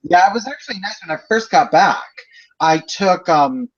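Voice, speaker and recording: A man's voice: high-pitched, lively intonation, normal speed, muffled recording. A young man in his twenties, a student chatting casually with classmates about courses on a video call, rambling through explanations with lots of fillers. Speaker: student in a group project.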